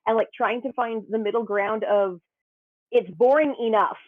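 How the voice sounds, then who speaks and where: A woman with a fairly high voice speaks through a narrow, phone-like video call line. The sound is dry and boxy. She rambles in long animated stretches and interrupts herself. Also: moderate pace, no room sound. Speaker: panelist. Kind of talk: casual panel discussion.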